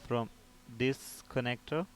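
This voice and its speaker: Male tutorial voice, medium pitch, informal step-by-step explaining, self-posed questions, background noise. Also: slow. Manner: explanatory, poses and answers own questions. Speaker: repair technician instructor.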